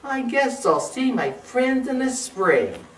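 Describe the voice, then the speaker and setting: A woman's voice: mid-range voice, sing-song, unhurried, fairly bright tone. A woman voicing a friendly female turtle who calls out invitingly in a children's storybook. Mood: sleepy. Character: friendly, eager female turtle wanting playmates. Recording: roomy, distant from the mic.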